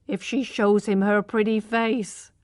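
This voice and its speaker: A shrewd, scheming country mother from a storybook, voiced by a woman reader. She sounds plain and sly, close to an everyday voice at medium pitch. The sound is dry and close. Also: steady tone, measured pace, no room sound, natural voice.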